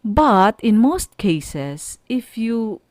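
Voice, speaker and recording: A woman teacher with a Filipino accent delivers a radio lesson at an unhurried pace. She explains in short, halting phrases with firm emphasis, her pitch moving expressively. The sound is dry and close. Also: big pitch swings, a little mellow, no room sound.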